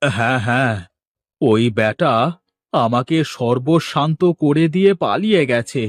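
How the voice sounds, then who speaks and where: A man acts out an anxious village fish merchant for a cartoon. The voice sits at a medium pitch in a dry recording. He is courteous yet easily panicked, and he repeats himself in exclamations. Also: a little slow, no echo, natural voice. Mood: desperate. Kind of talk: cartoon dialogue acting.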